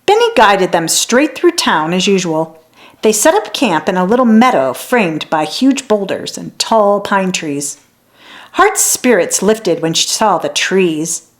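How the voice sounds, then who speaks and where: A woman narrating a children's story as a read-aloud, in an expressive, deliberate storytelling manner. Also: medium pitch.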